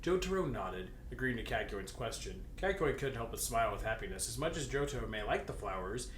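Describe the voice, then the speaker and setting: Lively, giggly man in an echoey room. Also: medium pitch, a little fast. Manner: giggly, stammering, playfully dramatic. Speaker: co-host reading fan fiction aloud. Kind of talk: jokey read-aloud with banter. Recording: roomy echo, clear background noise.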